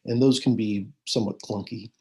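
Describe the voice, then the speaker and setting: A man on a video call, asking questions in a measured, hesitant way with fillers. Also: fairly low pitch, steady tone, a little mellow, breathy edge. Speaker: church participant in a video call.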